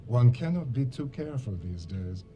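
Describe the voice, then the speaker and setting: A man's voice: mid-range voice, big pitch swings, moderate pace, dark tone, close to an everyday voice. An actor playing a menacing, genteel Nazi German commander with a German accent and a mannered, mock-courteous way of savoring his words. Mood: sinister, amused. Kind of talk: cinematic war game acting. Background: music.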